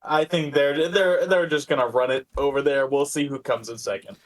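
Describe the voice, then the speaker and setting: A man's voice: mid-range voice, fairly even intonation, moderate pace. A man co-hosting a sports podcast, giving casual analysis and often repeating words as he thinks. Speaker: podcast co-host.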